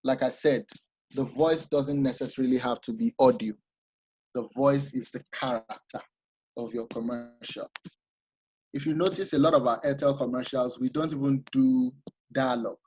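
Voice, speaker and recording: A man giving an informal masterclass talk, animated and explanatory, often restarting his sentences. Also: medium pitch, normal speed, slight background noise, rough recording. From Nigeria. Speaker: masterclass speaker.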